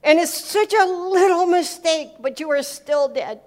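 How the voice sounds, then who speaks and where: A woman's voice: extremely high pitch, animated, measured pace, a little mellow. A woman from America and Switzerland giving an informal teaching talk, emphatic, repeating words for effect and acting out bits of dialogue. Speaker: speaker giving a talk on faith and business.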